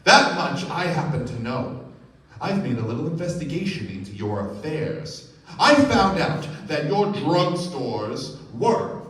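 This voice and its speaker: An actor playing a possessive, scornful rich husband in a live radio play. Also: male voice, a little high, very animated, a little slow, fairly bright tone. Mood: angry, insistent. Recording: echoey stage, distant microphone.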